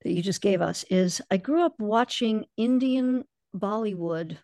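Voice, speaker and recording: A woman hosting an interview, asking measured and probing questions. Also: mid-range voice, darkish tone, no echo, studio quality. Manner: measured and probing, with many short acknowledgments. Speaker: host. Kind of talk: interview with a guest.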